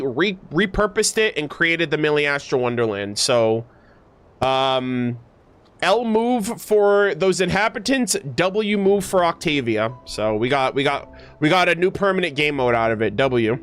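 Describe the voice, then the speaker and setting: A man's voice: on the high side, fairly bright tone. A man streaming a game, reading text aloud and breaking it down casually in gamer slang with emphatic restatements. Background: background music.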